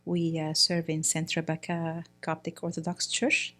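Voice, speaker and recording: A middle-aged woman from Egypt talks in a relaxed interview. Her voice sits fairly low, and she keeps stopping to search for words with lots of "uh" and "you know." She speaks plainly, in an unhurried, conversational way. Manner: hesitant, many fillers and restarts. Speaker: guest, priest's wife.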